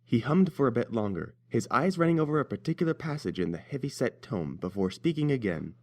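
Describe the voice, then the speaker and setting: A man's voice: medium pitch, animated, a little fast. A man narrating a scripted story as its reader.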